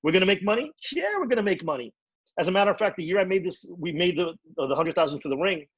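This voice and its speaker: A man speaking at a rapid clip in a slightly husky, fairly high voice, giving a motivational training talk. He talks with energy and drives points home by repeating them. The sound is dry and a little dull, like a lo-fi call recording. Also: dry recording. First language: English. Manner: energetic, repetitive, preachy. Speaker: network marketing trainer.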